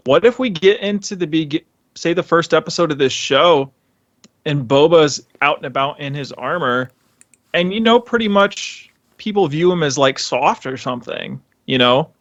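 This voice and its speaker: A man's voice: on the high side, expressive, normal speed, a bit bright, dry recording, lo-fi sound. A man speaking as a podcast co-host in casual, enthusiastic, rambling fan chat.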